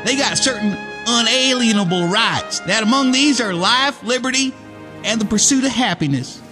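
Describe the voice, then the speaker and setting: A man's voice: extremely high pitch, moderate pace. An actor playing a folksy, wry young male survivor with a drawl in a radio play. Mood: resolute. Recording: music under radio play dialogue.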